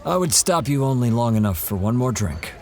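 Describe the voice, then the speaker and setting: A man's voice: mid-range voice, big pitch swings, brisk, a little mellow. An actor playing a hardened male monster hunter in an audio drama, blunt and earnest. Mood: resolute.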